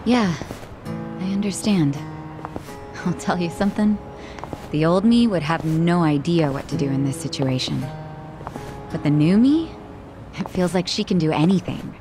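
A self-assured young game heroine with a lowish voice. She sounds calm, reflective and quietly bold over clearly audible guitar music. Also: a little slow, long pauses. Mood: reflective resolve. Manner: reflective, confident. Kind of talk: naturalistic video game voice acting.

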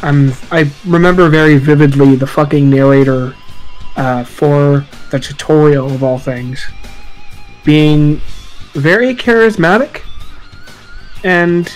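A man's voice: on the high side, takes it slowly, long pauses, rough recording. A man talking casually and loosely about video games in a group podcast, rambling and swearing as he goes. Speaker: podcast panelist. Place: online group voice chat. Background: guitar music.

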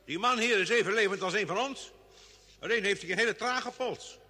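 A man's voice: high voice, a little fast, pushed and bright. An actor playing a brusque, practical male ship's doctor in an old radio space drama, matter-of-fact and a bit testy. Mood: brisk, testy. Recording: vintage radio drama, long studio reverb.

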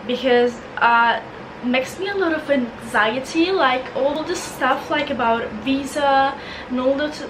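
Young Italian woman, chatty and high-voiced. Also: background noise. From Italy. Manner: chatty, restarts and repeats words, non-native phrasing. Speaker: vlogger. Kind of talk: casual Q&A chat. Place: bedroom, indoors.

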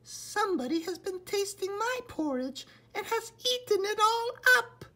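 A man voicing a little wee bear cub in a storybook, with a squeaky falsetto and a whiny, upset manner. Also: big pitch swings, a little slow, sharp tone, exaggerated voice.

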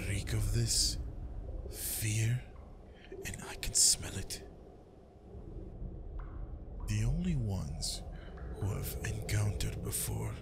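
A man playing a menacing, grieving vampire lord in an ASMR roleplay, half-whispering in a breathy, deliberate voice. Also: fairly low pitch, heavily acted. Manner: slow, deliberate, threatening. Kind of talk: improvised ASMR roleplay, whispered, addressed to the listener. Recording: loud steady background ambience noise.

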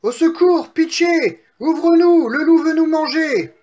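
A man's voice: unhurried, a little mellow, muffled recording. A man voicing a frightened young little pig from a children's storybook, crying out and pleading frantically. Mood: panicked. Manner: shrill, pleading cries.

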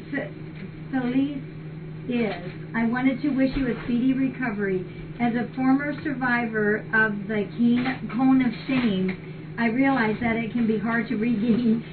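An older woman with a fairly high voice talks in a chatty, appreciative way, switching between casual remarks and reading aloud. She is some distance from the webcam's microphone. The sound is dull and lo-fi, with clear noise behind her. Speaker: cat rescue shelter host. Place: large indoor cat shelter room. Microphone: distant fixed webcam. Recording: muffled, noisy webcam audio from far off.

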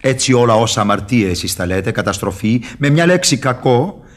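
An actor playing a sly, mocking, falsely humble devil in radio theatre, with husky, ironic, wheedling verse delivery. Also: male voice, mid-range voice, lively intonation, close to an everyday voice. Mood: proud, mocking.

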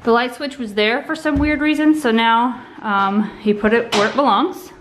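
A young adult woman casually walking through a house under renovation on a handheld phone, talking in a relaxed, rambling way with restarts. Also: a little high, moderate pace, slight background noise, low-quality recording. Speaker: vlogger giving a home tour. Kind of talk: casual walkthrough narration. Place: indoors, empty rooms of a house under renovation. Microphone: handheld phone camera.

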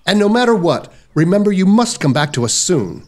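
A man playing a kindly, patronizing Victorian husband in a theatrical audio drama, genteel and expressive. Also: sits high, brisk. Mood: concerned.

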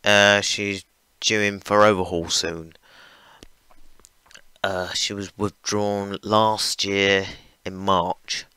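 Low, slow-talking young man, strained voice. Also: bright, forceful tone, smooth, no room sound. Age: young adult. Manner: rambling, hesitant, many fillers. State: losing his voice. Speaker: gaming channel host. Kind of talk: casual train-simulator gameplay commentary. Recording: noisy home recording.

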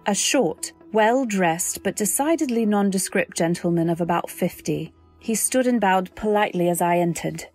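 A woman's voice: mid-range voice, normal speed, darkish tone, lightly acted. A woman acts out a young newlywed in an old-time radio drama. She is polite and timid, speaking and narrating with earnest, genteel care. Faint music plays behind her. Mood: reflective.

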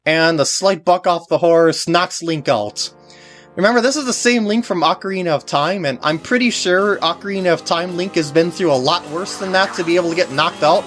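A man's voice: high-pitched, moderate pace, a bit bright. A man giving casual, jokey, rambling commentary as he plays through a video game. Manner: jokey, rambling, lapses into silly voices for characters. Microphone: voice recorded on a compact camera's microphone. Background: video game music.

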